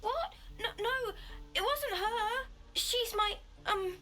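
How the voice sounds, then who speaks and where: A woman's voice: very high voice, takes it slowly, sharp tone, heavily acted. A woman voicing a nervous, evasive little child thief in a video game, with a small, hesitant voice. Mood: flustered. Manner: hesitant, stammering, defensive.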